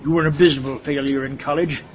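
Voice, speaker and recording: A man's voice: a little high, steady tone, quick pace, close to an everyday voice. A man playing a vain, pompous mad scientist in a comic audio drama, theatrical and sarcastic in manner. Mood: scornful boasting. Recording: dull lo-fi recording, faint noise.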